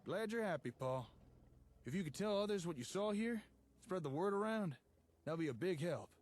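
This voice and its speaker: An actor playing a chatty, enterprising tinkerer in a wasteland game, talking in a folksy, easygoing, encouraging way, with faint noise. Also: male voice, sits high, expressive, normal speed, a little mellow. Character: chatty, enterprising male tinkerer guiding wasteland settlement builders. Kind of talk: in-game character dialogue.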